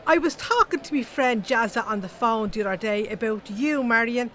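An actress playing a blunt, chatty, gossipy Irish animal-rights activist with an earthy colloquial manner in a comedy audio drama. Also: female voice, on the high side, moderate pace, fairly bright tone, natural voice.

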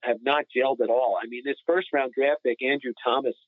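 A man's voice: medium pitch, fairly even intonation, fairly quick, dry recording. A man giving emphatic, opinionated analysis in a casual sports podcast discussion. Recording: phone-like narrow-band sound.

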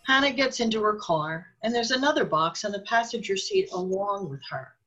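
A woman narrates a radio drama from a script. Her voice is husky and sits at a medium pitch as she sets out the action. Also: expressive, normal speed, fairly bright tone, highs cut off.

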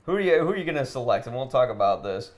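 A man co-hosts a laid-out casual discussion with firm opinions. His voice is of average pitch, and his phrasing is loose and conversational, full of "like". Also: very animated, rapid-fire. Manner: opinionated, peppers speech with "like". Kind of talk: casual film criticism while gaming.

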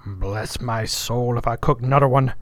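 A man's voice: medium pitch, steady tone, moderate pace, a little mellow, no echo, close to an everyday voice. A man voicing a sullen, weary ship's cook in his nineties, grumbling in folksy dialect for an audiobook. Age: 90s. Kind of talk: audiobook character voice.

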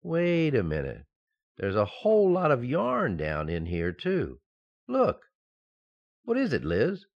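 Radio sitcom husband: male actor, eager and boyish, hugely swooping pitch, slightly muffled sound. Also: huge pitch swings, takes it slowly, creaky, long pauses, exaggerated voice. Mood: surprised, excited. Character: eager, playful young husband and office employee. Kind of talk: old-time radio sitcom acting.

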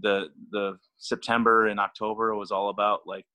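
Bright-voiced stammering man. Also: fairly low pitch, level tone, normal speed, low-quality recording. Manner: stammering, repeats words, laughs easily. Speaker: throwing coach. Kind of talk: casual podcast chat.

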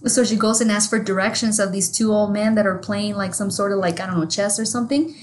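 A woman's voice: mid-range voice, fairly even intonation, quick pace. Candid Mexican-accented woman telling stories. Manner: candid, swears freely, storytelling with laughs. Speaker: podcast co-host. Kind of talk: casual chat.

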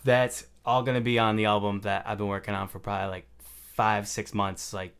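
Low-voiced young man, rambling casually. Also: little pitch movement, a little fast, vocal fry, a bit bright, clear, resonant tone. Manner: rambling, loose, full of "like" fillers. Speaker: rapper guest. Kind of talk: casual conversation.

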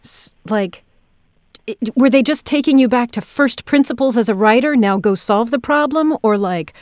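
A woman's voice: a little high, normal speed, a little mellow, no room sound, studio quality. A woman hosting a podcast interview in a casual, enthusiastic way, with effusive reactions.

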